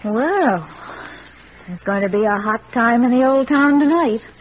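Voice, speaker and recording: A woman's voice: on the high side, animated, a little slow, background noise. A woman playing a cool, inquisitive young lady in a 1940s radio crime drama, on a muffled old recording. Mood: curious.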